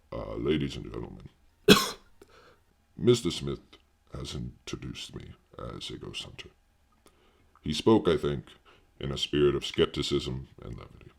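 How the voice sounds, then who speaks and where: A man acting in an audio horror drama as a grave, earnest older doctor who hunts apparitions, speaking in a formal, halting, pedantic manner with a gravelly voice. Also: extremely low pitch, very animated, full character voice.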